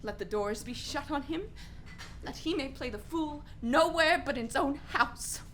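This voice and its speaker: A woman's voice: high voice, expressive, moderate pace, a bit bright, slight background noise. A woman acting a bitter, mocking, volatile young prince in a Shakespearean radio play. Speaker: actor playing Hamlet.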